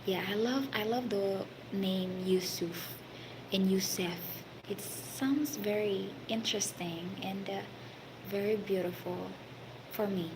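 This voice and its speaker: A woman with a Filipino accent recounts a story at a slow, unhurried pace, stopping often on fillers and sometimes sinking into a half-whisper. Steady background noise sits clearly under her voice in this casual recording. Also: mid-range voice. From Philippines. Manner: halting, many fillers, partly whispered. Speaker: hospitality worker telling a story. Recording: noisy background.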